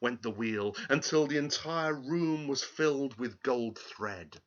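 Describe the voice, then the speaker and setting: A man telling a fairy tale aloud in a theatrical, deliberate, expressive way. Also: medium pitch, dull audio, a little hiss or hum. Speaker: storyteller.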